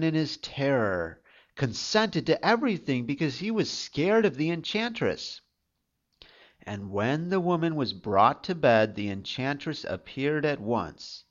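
Male storybook narrator, slow pace, animated pitch, dramatic delivery, dull recording. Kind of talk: expressive scripted fairytale narration.

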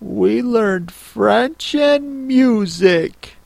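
A woman voicing a polite, curious, easily affronted young girl in a storybook, with careful, drawn-out delivery. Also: a little high, lively intonation, gravelly, a little mellow, dry recording, pitched above the performer's own voice, full character voice. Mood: indignant.

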